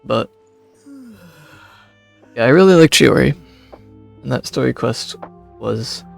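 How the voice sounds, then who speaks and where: A man with a gravelly voice giving casual, laid-back, halting game commentary, with faint music behind. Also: mid-range voice, lively intonation. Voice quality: creaky, gravelly. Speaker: game streamer.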